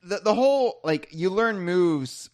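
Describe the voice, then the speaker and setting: A man's voice: high-pitched, animated, unhurried, darkish tone. A man hosting a podcast, chatting casually in a rambling, self-deprecating, joking way. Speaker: podcast host.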